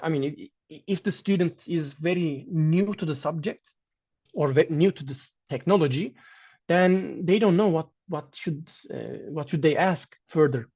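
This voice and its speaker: Guest lecturer, male, Turkish native speaker, fairly high voice, measured delivery with restarts, dull lo-fi sound. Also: a little mellow. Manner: measured, with frequent restarts and fillers. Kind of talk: online academic lecture with slides.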